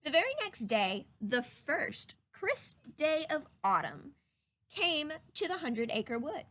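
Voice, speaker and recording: Woman, high voice, very expressive, children's read-aloud, emphatic teacherly storyteller. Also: slight background noise. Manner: slow, animated, emphatic.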